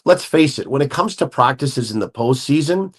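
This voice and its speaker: A man in the analyst chair of a sports talk show, working through scenarios out loud in a long, expansive stretch. His pitch sits in the everyday middle for a man, and he keeps building each point. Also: expressive, moderate pace, breathy edge. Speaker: hockey analyst guest.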